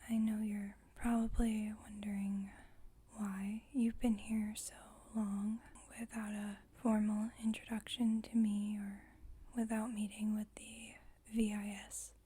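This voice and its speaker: A woman playing a composed, formal vampire coven leader in an ASMR roleplay, whispering softly in a measured, instructive, gently wry way. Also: medium pitch, level tone. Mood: calm, formal. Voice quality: breathy, whispered, soft.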